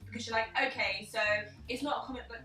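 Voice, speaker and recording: A woman's voice: fairly high pitch, very fast, sharp tone. A woman in a casual group film discussion, giving emphatic opinions and swearing freely. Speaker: film review panelist. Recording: echoey room, distant mic, music behind.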